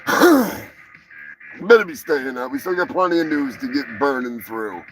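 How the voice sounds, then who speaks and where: A man's voice: mid-range voice, expressive, moderate pace, faint music behind, low-quality recording. A man hosting a live show, talking over the news in a loose, sarcastic, rambling way with lots of swearing and laughter. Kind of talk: casual profane news commentary.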